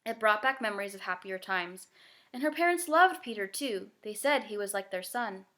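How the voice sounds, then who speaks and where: Female narrator, children's novel, expressive read-aloud, medium pitch. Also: moderate pace.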